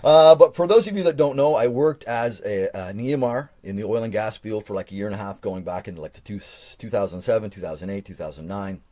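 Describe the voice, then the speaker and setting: A man's voice: fairly low pitch, fast, hardly any pauses, mellow, no echo. A man in his forties chatting casually on a live stream, reading from an old journal and rambling with frequent restarts. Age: 40s.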